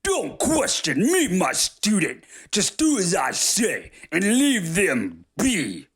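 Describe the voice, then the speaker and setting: A man playing an imperious, scheming villain lord in an audio story, raspy and theatrical. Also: on the high side, huge pitch swings, measured pace, a bit bright, heavily acted. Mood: commanding anger. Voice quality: raspy, gravelly. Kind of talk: audio story character acting.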